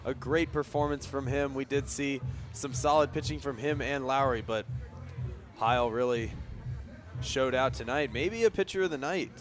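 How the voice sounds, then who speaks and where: Mid-pitched male baseball color commentator. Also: moderate pace, soft background music. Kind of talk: conversational radio sports analysis.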